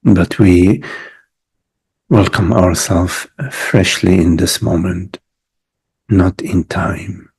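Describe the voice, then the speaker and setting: A man leading a guided meditation as a teacher, speaking reflectively in short phrases with long pauses between them. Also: low-pitched, steady tone, creaky, darkish tone, husky.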